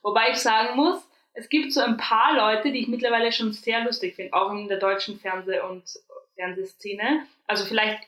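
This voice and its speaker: A woman's voice: fairly high pitch, steady tone, normal speed, a bit bright, roomy sound, rough recording. A young woman with an Austrian accent, co-hosting a podcast in easygoing, chatty talk with lots of fillers. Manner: chatty, many fillers and restarts. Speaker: podcast co-host. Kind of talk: casual chat.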